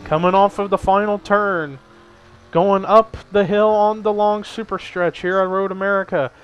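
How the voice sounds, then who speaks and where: A man's voice: high-pitched, fairly even intonation, a little slow, clean tone, lo-fi sound, faint music behind. A young man giving casual play-by-play commentary on a simulated race, chatty and lifting his energy at the big moments. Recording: faint background noise.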